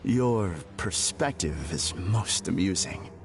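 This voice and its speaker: A man voicing a serene, deluded zealot in a video game, speaking with formal, measured courtesy. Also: sits low, lively intonation, vocal fry, full character voice. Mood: serene, courteous. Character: eerily serene, polite, deluded male zealot. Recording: game music behind the voice.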